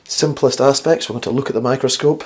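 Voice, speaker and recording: A man with a slightly croaky, flu-worn voice hosts a casual instructional science vlog, chatty and rambling with wry, self-deprecating asides. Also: mid-range voice, fairly even intonation, fast. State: getting over the flu. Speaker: host of a science video series.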